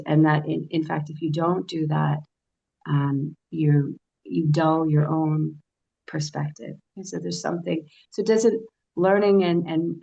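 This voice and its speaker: A woman with a very deep, gravelly voice speaks on a panel, measured and reflective and hesitant, with many fillers. The recording sounds dull and lo-fi. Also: dark tone. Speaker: editor and panelist. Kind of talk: panel discussion.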